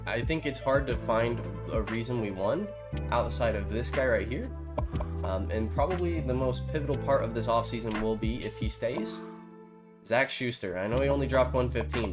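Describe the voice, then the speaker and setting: A man with a stuffy, congested nose, casually talking through a football video game on a live stream, rambling with plenty of fillers. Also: medium pitch. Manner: relaxed, rambling, many fillers. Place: home streaming setup with green screen. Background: background music.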